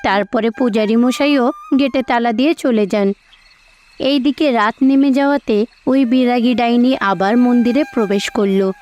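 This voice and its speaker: A woman's voice: a little high, measured pace, a little mellow. A woman reads scripted narration for a cartoon fairy tale. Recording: slightly dull audio with music behind.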